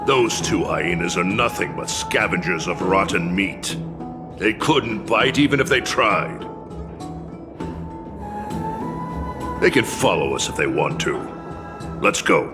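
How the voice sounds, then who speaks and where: A sly old warrior mentor from a fantasy game, with a very deep voice that swings expressively as he teases and spins tales. He takes his time over each grand phrase, with music playing underneath. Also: expressive. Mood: teasing, scornful. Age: older. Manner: deliberate, grandiose, teasing. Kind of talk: theatrical fantasy game acting.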